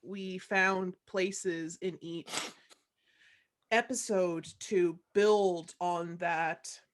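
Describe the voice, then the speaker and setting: A woman's voice: fairly low pitch, steady tone, very slow. A woman, a voice actor, talking casually in a panel discussion with her castmates. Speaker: voice actor in an audio drama cast.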